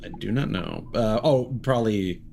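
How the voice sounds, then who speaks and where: Low-voiced man, lively livestream chat. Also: normal speed, creaky, a little hiss or hum. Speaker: video game live streamer. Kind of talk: casual livestream gameplay commentary.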